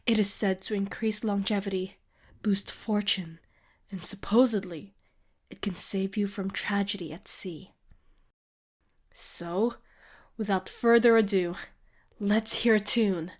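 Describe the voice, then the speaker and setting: A woman playing a grandiose showwoman guide in a fantasy audio roleplay, with salesmanlike patter and dramatic pauses. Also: mid-range voice, steady tone. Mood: smooth, showy.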